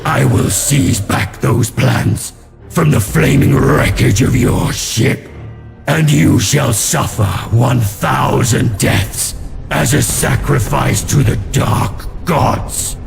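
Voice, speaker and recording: An actor playing a menacing villainous warlord in a sci-fi game, gravelly and grandiose. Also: male voice, medium pitch, slow pace, soft background music. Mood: wrathful, threatening. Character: wrathful male Chaos warlord, vile and menacing villain. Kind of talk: theatrical video game villain acting.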